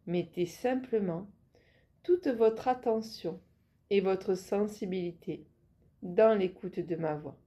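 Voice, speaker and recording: A woman leading a guided yoga nidra relaxation as an instructor, giving calm, measured instructions. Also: fairly low pitch.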